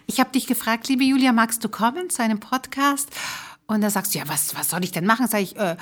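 Woman host with slightly high voice. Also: fairly quick, a bit bright. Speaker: podcast host. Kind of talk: conversational live interview. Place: small theatre, live recording.

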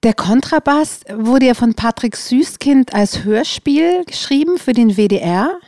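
Higher-voiced woman hosting, dry sound. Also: lively intonation, unhurried, warm tone, no echo. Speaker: podcast host, interviewer. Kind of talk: conversational interview.

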